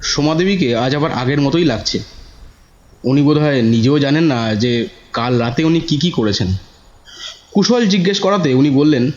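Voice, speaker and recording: A man's voice: a little high, lively intonation, moderate pace, long pauses, a little mellow. A man narrating a scripted horror story in a dramatic, suspenseful manner. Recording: dull audio with music bed.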